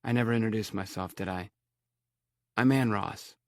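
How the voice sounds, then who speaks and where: A man's voice: lowish voice, moderate pace, darkish tone, no echo, studio quality, natural voice. A man voicing a polite, reserved young mother in an audiobook story. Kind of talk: audiobook character voice.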